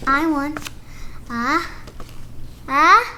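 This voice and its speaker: A woman's voice: sits high, extremely slow, sharp tone, a little hiss or hum, voice pushed down, full character voice, rough recording. A young girl voicing an anxious, sneezy, dramatic male elephant from a picture book, with hesitant and exclaiming delivery.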